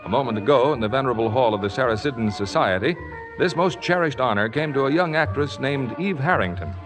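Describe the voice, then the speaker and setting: A man presenting a 1950s radio theater show in a formal, polished, measured, scripted manner. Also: mid-range voice, lively intonation, low-quality recording. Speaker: radio theater host and announcer. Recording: old radio broadcast with faint music.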